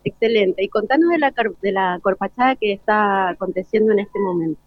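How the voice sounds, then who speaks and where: A woman's voice: mid-range voice, fairly quick, no echo. A woman with an Argentine accent works as a radio host, doing live interviews on a remote broadcast. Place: school event, remote broadcast. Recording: dull lo-fi radio broadcast link.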